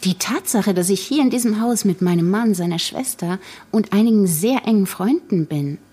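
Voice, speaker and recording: A woman's voice: mid-range voice, animated, normal speed, mellow, breathy edge. An actress playing a pragmatic, tired young mother in a naturalistic audio drama, matter-of-fact and questioning with her friends. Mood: reasoning, uneasy.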